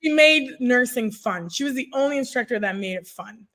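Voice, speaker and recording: An energetic woman in her thirties, a native French speaker, tells stories in a conversational podcast interview. Her voice sits fairly high. She speaks into a desk microphone on a video call from a room at home. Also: moderate pace. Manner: energetic storytelling, expressive hands. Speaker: guest, nurse career coach.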